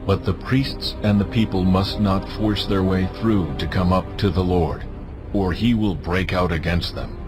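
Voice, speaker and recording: God, deep and stern. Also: male voice, fairly even intonation. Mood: stern warning. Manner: solemn, authoritative, deliberate phrasing. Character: God, stern, majestic male deity issuing commands. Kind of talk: dramatized scripture acting. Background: music.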